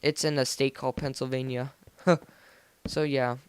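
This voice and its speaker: A teenage American guy with a clean, smooth voice at an average male pitch. He talks casually over gameplay, wandering along informally with plenty of slang. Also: level tone, normal speed, dry recording. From United States. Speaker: gaming video host. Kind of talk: casual game commentary. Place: voice-over recorded indoors after gameplay.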